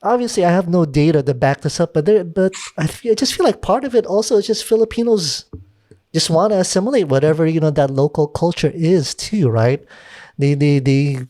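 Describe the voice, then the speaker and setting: Animated man chatting, dry sound. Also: brisk, no echo. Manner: animated, chatty, rising pitch when making a point, casual swearing. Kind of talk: casual podcast conversation.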